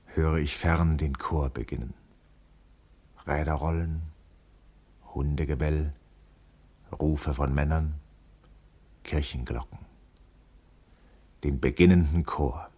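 An actor playing an observant, introspective schoolboy in a literary radio drama, speaking in a measured, reflective way. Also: male voice, very deep voice, warm tone, husky, heavily acted. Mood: dreamy, drifting.

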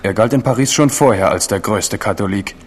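A male actor plays a young captive king in a radio play. His voice is low and rough-edged. He speaks in short, guarded, ironic lines. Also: brisk, close to an everyday voice. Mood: wry, wary.

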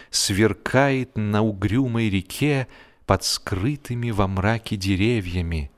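Male audiobook narrator, mid-range voice, theatrical and measured, wry literary comedy delivery, soft musical bed. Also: mid-range voice. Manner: theatrical, measured with dramatic pauses. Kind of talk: expressive humorous literary narration. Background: background music.

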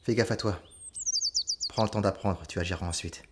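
A man plays a guarded young apprentice adventurer in an audio drama. His voice is fairly low and his delivery laconic, over faint background noise. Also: steady tone, normal speed, close to an everyday voice. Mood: gruffly caring.

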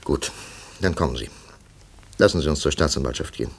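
An actor playing a firm, composed investigator in a 1950s German radio drama, deliberate and authoritative. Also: male voice, extremely low pitch, vocal fry, breathy edge, heavily acted. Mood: firm, measured. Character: firm, composed male investigator, official and steady.

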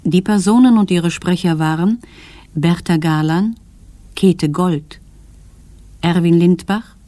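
A woman reading credits as a formal, measured 1950s radio announcer. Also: fairly low pitch, mellow, no room sound.

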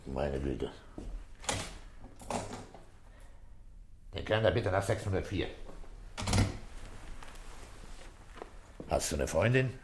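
An actor playing a calm, fatherly middle-aged police inspector in a German radio drama, speaking in an unhurried, coaxing, soothing way. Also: deep voice, noisy background. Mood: fatherly, kind.

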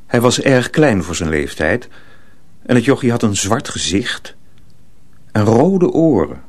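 Slow, low-voiced male storyteller. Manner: measured, storytelling. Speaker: narrator. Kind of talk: scripted dramatic radio narration.

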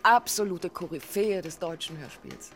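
Harried female radio editor, bossy, chummy, very lively pitch, radio play. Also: moderate pace, soft background music. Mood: businesslike, pushy. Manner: familiar, bossy, interrupts themself. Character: brisk, harried, pushy radio drama editor. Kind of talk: naturalistic radio drama dialogue.

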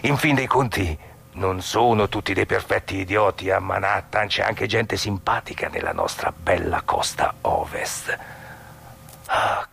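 An actor playing a blunt, sardonic outsider in a stage drama, mocking a married couple with theatrical, drawn-out irony. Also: male voice, fairly low pitch, creaky, bright, forceful tone, slight background noise, heavily acted. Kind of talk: stage monologue acting.

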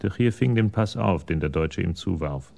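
An actor as the narrator of a crime radio play, reading in a measured, detached manner. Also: male voice, low-pitched, expressive, creaky, a little mellow, heavily acted.

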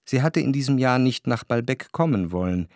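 An actor playing a reflective, grieving narrator in a literary radio drama, with measured, introspective and deliberate phrasing. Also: male voice, medium pitch, expressive, a little mellow, lightly acted. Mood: matter-of-fact. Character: reflective, grieving male narrator remembering his grandmother.

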